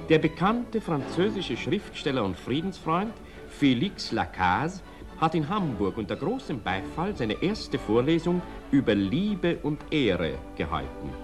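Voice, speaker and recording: An actor playing a formal reader of an old newspaper report in a radio drama, measured and declamatory. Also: male voice, a little high, sing-song, over music. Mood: formal, solemn. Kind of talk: formal read-aloud narration in a radio drama.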